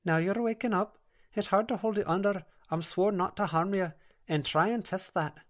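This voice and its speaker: A woman's voice: medium pitch, moderate pace, pitched above the performer's own voice, lightly acted. A woman voicing a soothing, earnest female dream-walker with a broad Scottish accent in an audiobook.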